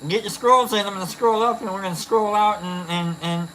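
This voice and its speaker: A livestream host chatting casually in a rambling, filler-heavy way, giving affectionate shout-outs to viewers. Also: medium pitch, a little fast, slight background noise, rough recording.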